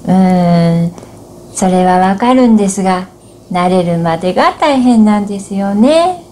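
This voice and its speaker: A woman's voice: mid-range voice, animated, a little slow, warm tone. Woman, middle-aged, polite host, conversational scripted presenting, echoey room, faint noise. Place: small room at home, indoors. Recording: roomy echo, faint background hiss.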